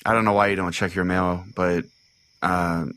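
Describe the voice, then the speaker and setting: A man's voice: sits low, flat delivery, moderate pace, a bit bright, clear, resonant tone. A man playing an irritable, foul-mouthed pastor who hosts a comedic call-in radio show, sarcastic and theatrical in his delivery. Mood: irritated ranting. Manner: exasperated, sarcastic, theatrical storytelling.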